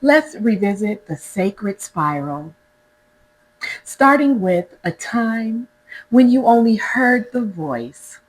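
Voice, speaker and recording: A woman guiding a scripted meditation in a gentle, deliberate manner, pausing between short phrases. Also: medium pitch, animated, low-quality recording. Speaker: meditation guide, host. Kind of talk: guided meditation, scripted spiritual narration.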